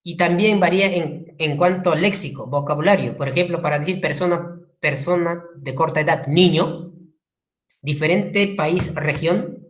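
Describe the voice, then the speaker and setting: Peruvian woman teacher, low voice, lively lecture delivery with acted-out quotes. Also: moderate pace. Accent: Peruvian Spanish. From Peru. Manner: lively, acts out quotes, asks the class questions. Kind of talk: classroom lecture.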